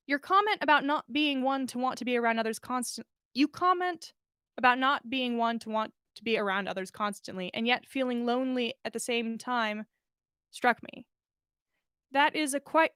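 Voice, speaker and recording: High-voiced woman reading a story aloud. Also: fairly bright tone, smooth, no echo, clean studio sound. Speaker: podcast host reading fan fiction aloud. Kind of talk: expressive storybook narration.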